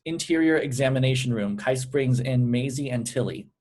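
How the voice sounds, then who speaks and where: A man's voice: medium pitch, normal speed, darkish tone. A man with a mid-range voice serves as narrator at a script table read. He reads out stage directions as scripted narration.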